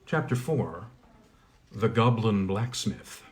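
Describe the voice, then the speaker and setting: A man reading a fairy tale aloud with expressive, lively storytelling. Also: on the low side, slow, slight background noise. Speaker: audiobook reader. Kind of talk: storybook narration.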